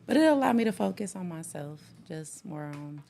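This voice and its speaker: A woman's voice: lowish voice, expressive, normal speed, gravelly, dark tone. A woman guest on a casual talk show, talking in a laid-back, blunt way and laughing easily. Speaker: guest co-host. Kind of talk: casual chat.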